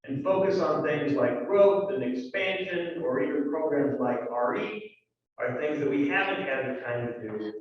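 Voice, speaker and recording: Guy doing church announcements, kinda high voice, booming echo from far back, dull sound. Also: lively intonation, normal speed. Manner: conversational, with fillers and asides. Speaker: church leader giving announcements. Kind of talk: congregational announcements. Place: large church sanctuary. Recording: echoey hall, distant dull mic.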